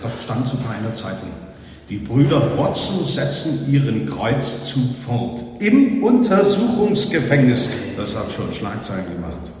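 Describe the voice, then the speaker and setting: Chatty guard in echoing hall. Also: male voice, medium pitch, big pitch swings, measured pace, darkish tone, slight background noise. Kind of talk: German radio docudrama acting. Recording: very echoey hall, busy background voices.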